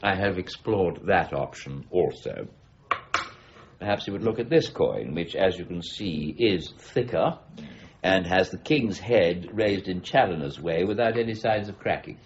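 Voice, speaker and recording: An actor playing a stubborn, exacting middle-aged warden of the Royal Mint, precise and formal in a radio drama. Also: male voice, low-pitched, animated, a little slow. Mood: insistent. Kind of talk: BBC radio drama acting. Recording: muffled radio broadcast with faint noise.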